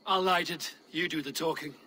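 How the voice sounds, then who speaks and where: An actor playing a bold, threatening Viking warrior in a video game, with a blunt and commanding delivery. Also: male voice, on the high side, fairly even intonation, moderate pace, bright, forceful tone, a little hiss or hum. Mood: blunt resolve. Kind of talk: dramatic video game acting.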